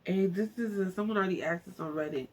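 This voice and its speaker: A woman's voice: mid-range voice, fast, rough recording. A woman reading a book aloud informally, stumbling over words and breaking off to muse to herself in chatty asides. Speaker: amateur book narrator. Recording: faint background noise.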